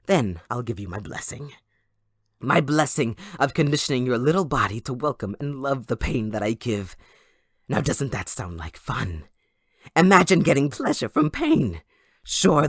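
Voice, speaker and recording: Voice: medium pitch, breathy edge, no room sound, clean studio sound. A performer voicing a gleeful, sadistic supervillain kidnapper in an audio roleplay, theatrical, mocking and possessive toward a captive listener. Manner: theatrical, mocking, giggling, swooping between croon and shriek.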